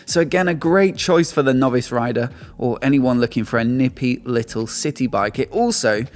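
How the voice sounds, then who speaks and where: A man's voice: medium pitch, moderate pace. A man, hosting an upbeat scripted motorcycle review and sponsor read at a studio desk mic. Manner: upbeat, lively scoring delivery. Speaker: motorcycle channel host. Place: small indoor studio with shelves. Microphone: desk mic on stand in front of him.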